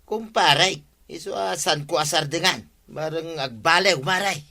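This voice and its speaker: A grumbling villager in a radio comedy, complaining about his captain. His voice is bright and slightly high. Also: measured pace. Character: complaining villager at the barangay hall. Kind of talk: radio comedy drama acting.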